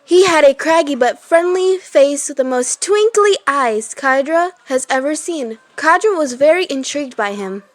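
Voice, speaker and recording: A female voice, clearly high in pitch, reads a children's story aloud. The narration is scripted, and the sound is very dry. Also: lively intonation, moderate pace, hardly any pauses, dry recording. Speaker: storybook reader.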